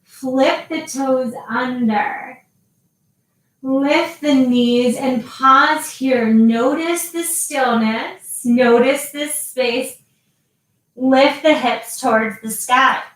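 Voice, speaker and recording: Woman yoga teacher, high voice, very slow, calm cues, echoey room, distant mic. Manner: slow, calm cueing with long pauses. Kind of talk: guided yoga instruction.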